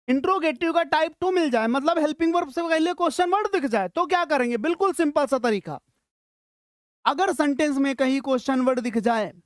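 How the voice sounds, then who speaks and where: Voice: sits high, no echo, clean studio sound. A teacher gives a live grammar lesson to school students, asking questions, answering them and repeating key phrases.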